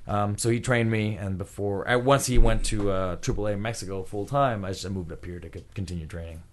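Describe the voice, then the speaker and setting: A man's voice: fairly low pitch, brisk. A man in his thirties from Puerto Rico, a guest talking casually in an interview and laughing often. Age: 30s. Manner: relaxed, chatty, laughs often. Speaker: guest, pro wrestler and trainer.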